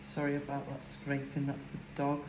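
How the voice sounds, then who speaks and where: Low-voiced woman with broad Lancashire accent. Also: monotone, normal speed. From Lancashire, England. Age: older. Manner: chatty, rambling, many "um"s. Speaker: crafting vlogger. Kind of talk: casual chat while crafting. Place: home, indoors. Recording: echoey room with faint hiss.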